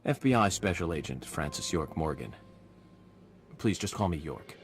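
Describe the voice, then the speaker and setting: A suave, eccentric FBI agent from a video game, with a low voice and lively, varied intonation. He is courteous and musing, and music plays clearly behind him. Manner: polite, measured, musing.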